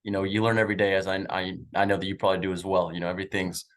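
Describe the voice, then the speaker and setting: A young man in his twenties works a sales call, rattling along at a quick clip with lots of um and uh. His voice is fairly low, his manner upbeat and chatty. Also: flat delivery, a bit bright. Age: 20s. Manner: upbeat, chatty, filler-heavy. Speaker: insurance sales agent. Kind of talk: sales call small talk. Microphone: video call.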